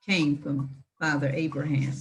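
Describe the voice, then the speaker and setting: A woman reading aloud in an emphatic, deliberate manner, with informal asides. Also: sits low, flat delivery. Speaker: reader with commentary.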